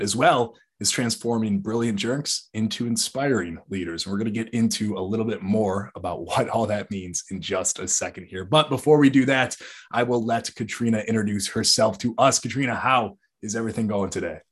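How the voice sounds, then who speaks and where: A man's voice: on the low side, slightly rough. A man hosting a podcast, upbeat, with plenty of filler words as he questions his guest. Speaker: podcast host.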